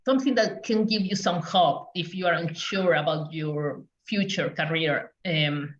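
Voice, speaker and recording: Slow-spoken woman on a roomy call. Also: sits low. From Mexico. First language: Spanish. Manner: measured, hesitant with many fillers. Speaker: community engagement specialist, panelist. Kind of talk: panel talk on a video call. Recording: roomy, distant, dull video call audio.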